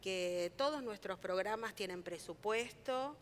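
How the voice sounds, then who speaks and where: A woman, speaking as a city health official on a panel. Her delivery is measured and official, with many pauses. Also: medium pitch, a little hiss or hum. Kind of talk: panel talk at a forum.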